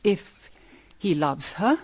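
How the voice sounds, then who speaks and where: An anxious British mother, expressive. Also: fairly low pitch, husky, no echo, heavily acted. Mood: grave, foreboding. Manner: grave, measured, dramatic phrasing. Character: anxious, brooding mother of two grown daughters. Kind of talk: period radio drama acting.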